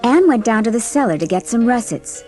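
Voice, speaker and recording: A woman narrator reading a scripted storybook in a measured, expressive way. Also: fairly high pitch, soft background music.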